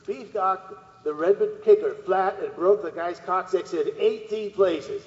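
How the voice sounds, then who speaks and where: A man's voice: high voice, measured pace, darkish tone. A man playing an admiring, gossipy sports fan calling in to a radio show in a radio drama. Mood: gushing. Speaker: caller. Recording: muffled, echoey sound with long reverb.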